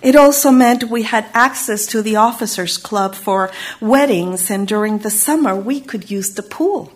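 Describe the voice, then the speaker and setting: A woman from Chile, giving a slow, reflective Unitarian Universalist sermon and lingering on single words. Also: a little high.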